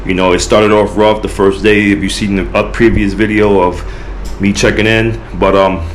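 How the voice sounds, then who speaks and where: A man's voice: fairly low pitch, flat delivery, normal speed, slight background noise, poor audio. An American man from New York, talking casually to camera as a travel vlogger on a beach, loose and chatty with lots of filler words. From United States, New York. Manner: loose and chatty, many "you know" and "like" fillers. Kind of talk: casual vlog chat to camera. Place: outdoors on a beach.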